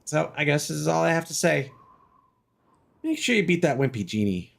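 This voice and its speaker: A man's voice: fairly high pitch, expressive, moderate pace, creaky, voice pushed up. A man voicing a chirpy, chatty, apologetic moon villager while reading fantasy game dialogue aloud. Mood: chirpy, explanatory.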